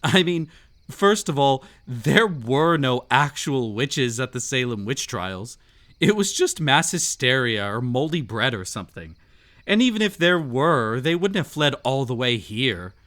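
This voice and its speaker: Mysterious stranger played by a man hosting a haunted manor in roleplay audio: mid-pitched, very expressive, teasing, quietly sinister storyteller. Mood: amused disbelief. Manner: teasing, long-winded storyteller, slow dramatic pauses. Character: mysterious teasing host of a haunted glass-filled estate, secretly sinister. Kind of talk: immersive roleplay audio, storytelling addressed to the listener.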